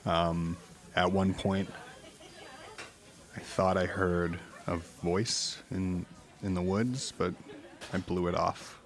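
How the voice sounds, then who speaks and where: Shaken witness telling an eerie story. Deep, unhurried, halting, naturalistic audio-drama read. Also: male voice, fairly even intonation. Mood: uneasy, recounting. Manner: halting, deliberate, uneasy. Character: haunted married truck driver recounting eerie encounters. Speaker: podcast guest, witness.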